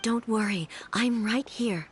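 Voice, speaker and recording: A woman voicing a solemn, composed shogun in a video game, speaking in a formal, measured and grave way. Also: fairly high pitch, a little hiss or hum. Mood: calm, reassuring. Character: solemn, composed female shogun, an almighty ruler.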